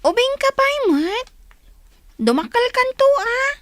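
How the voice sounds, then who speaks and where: A woman's voice: very high-pitched, very animated, a little slow, fairly bright tone, full character voice. A woman playing a young older sister, teasing and concerned, in a radio drama. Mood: teasing, advising.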